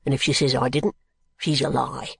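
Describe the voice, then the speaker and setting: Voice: medium pitch, level tone, normal speed, gravelly, fairly bright tone, husky, no echo, voice pushed down, exaggerated voice. A narrator voicing a surly, drunken working-class brickmaker, blunt and morose, in dialect speech, as in an audiobook. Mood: defiant, morose. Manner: dialect speech, blunt, self-answering rhetorical questions.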